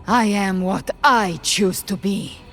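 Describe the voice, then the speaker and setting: A woman's voice: mid-range voice, slow pace, a bit bright, soft background music. An actress playing a proud, battle-hardened warrior woman in a video game, cool, blunt and scornful in her defiance.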